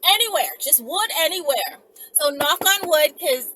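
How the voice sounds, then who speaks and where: A woman's voice: high voice, animated, unhurried, bright, forceful tone, slight background noise. A woman host talking casually to her viewers, sassy and digressive, interjecting comments as she reads out messages. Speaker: host of her own video channel.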